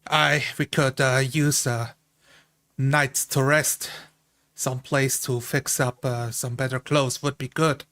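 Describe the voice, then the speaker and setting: Tabletop adventurer played by a man: flat, understated, measured, clean close dry sound. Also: mid-range voice, no room sound. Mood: weary, measured. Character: weary, measured, courteous adventurer in ill-fitting clothes.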